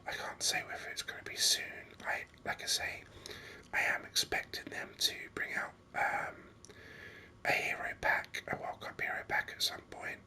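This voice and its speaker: A gaming host speaking in an unvoiced whisper, giving unhurried, rambling ASMR game commentary. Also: pushed and bright.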